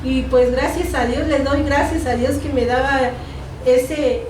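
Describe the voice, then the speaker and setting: A woman's voice: sits high, steady tone, measured pace, a little mellow. A woman from Mexico with a Mexican Spanish accent teaching a Bible class in an earnest tone, repeating phrases and correcting herself. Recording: echoey room, distant mic, noisy.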